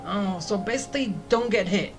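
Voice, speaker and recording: A woman's voice: mid-range voice, moderate pace. A woman giving casual, blunt gameplay commentary, often muttering asides to herself. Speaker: gaming video host. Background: game music behind the voice.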